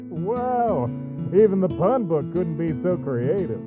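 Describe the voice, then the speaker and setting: A man's voice: high voice, very animated, takes it slowly, phone-quality sound, exaggerated voice. A man voicing an easygoing, joke-loving skeleton sentry in a comic dub, casual and musing. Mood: amused. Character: easygoing skeleton sentry who loves jokes, troubled by nightmares. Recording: music behind the voice.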